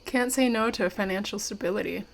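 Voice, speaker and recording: Curious, wry interviewer, medium pitch. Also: female voice, a little fast, creaky. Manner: polite, inquisitive, wry. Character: curious, polite, wry young female interviewer and narrator. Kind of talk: audio drama acting.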